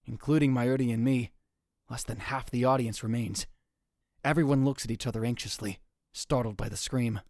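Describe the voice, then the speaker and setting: A man's voice: medium pitch, steady tone, normal speed, darkish tone, no room sound, studio quality, lightly acted. A man voicing a young male student shaken by a murder, narrating a visual novel in a tense first-person inner monologue that often drops to a whisper. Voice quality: husky. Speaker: narrator and lead voice in a fan dub.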